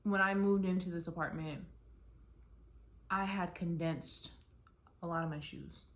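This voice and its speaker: A woman's voice: fairly low pitch, steady tone, unhurried, a bit bright. Rambling, chatty young American woman. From United States. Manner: chatty, rambling, many "like" fillers and restarts. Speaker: vlogger. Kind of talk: casual rambling chat to camera. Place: her apartment, mid-packing.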